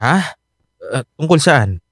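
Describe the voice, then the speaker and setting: Troubled young Filipino man, very expressive. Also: medium pitch, slow, no room sound. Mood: casual. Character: troubled, defensive young Filipino husband and son. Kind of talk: radio drama acting. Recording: studio drama with clear music bed.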